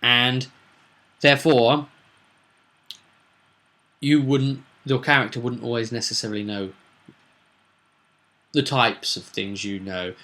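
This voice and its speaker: A man's voice: mid-range voice, a bit bright. A man hosting a tabletop roleplay vidcast, talking informally and discursively as a game master, often adding tag questions.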